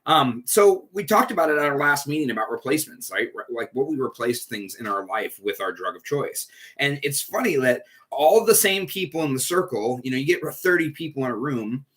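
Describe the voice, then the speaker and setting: Man, stuffy nose, chatty and rambling, recovery group leader, bright tone. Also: mid-range voice, lively intonation, fairly quick, hardly any pauses. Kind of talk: casual livestream talk. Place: at home indoors.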